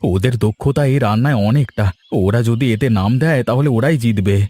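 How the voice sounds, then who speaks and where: A man's voice: medium pitch, brisk, warm tone, no room sound, close to an everyday voice. A man voicing an anxious village family member in a cartoon, with music behind him. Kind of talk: cartoon voice acting.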